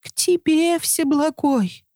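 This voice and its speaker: A woman's voice: high voice, slow pace, warm tone, no room sound, clean studio sound, pitched above the performer's own voice. A woman voicing a lazy, foolish, boastful man in a storybook folk tale. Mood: pleading.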